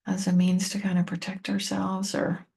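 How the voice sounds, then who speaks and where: A woman leads a guided meditation in a soft-edged, dark voice with plenty of fry. Her pitch stays fairly level as she gives gentle, unhurried instructions straight to the listener, leaving space between short phrases. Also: monotone. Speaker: meditation teacher and tapping coach.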